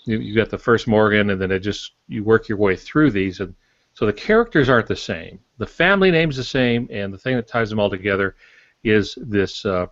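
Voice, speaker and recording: Low-voiced older man co-hosting a podcast. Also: a little fast, no echo, dull audio. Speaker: podcast co-host. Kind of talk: casual explanatory podcast talk.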